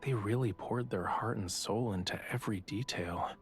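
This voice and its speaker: Man acting low-voiced, softly teasing young artist. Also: normal speed, faint music behind, close to an everyday voice. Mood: wistful. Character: young male artist, teasing, self-assured yet quietly vulnerable. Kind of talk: romance game voice acting.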